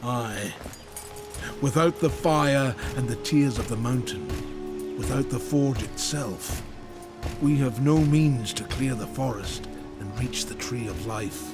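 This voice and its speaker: An actor playing a solemn, ancient maker in a fantasy video game, speaking in a measured, grave and formal way. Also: male voice, medium pitch, music in the background, lightly acted. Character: solemn ancient maker, reverent keeper of forge lore. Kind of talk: video game cutscene acting. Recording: game score behind the voice.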